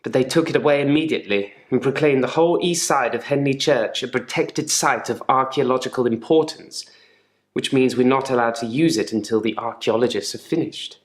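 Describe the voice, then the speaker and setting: Casting: male actor as controlled, unsettling therapy patient for a live-action game. Deliberate, polite delivery with quiet menace, mid-pitched, echoey room. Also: lightly acted. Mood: calm, reflective.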